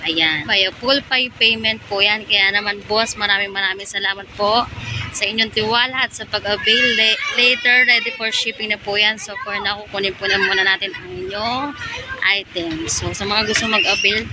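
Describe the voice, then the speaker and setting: Filipino woman hosting over loud street noise. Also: medium pitch, fairly even intonation, normal speed. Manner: polite, thankful. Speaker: online seller and vlog host. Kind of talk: casual sales vlog talk. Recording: very noisy, with car and street ambience.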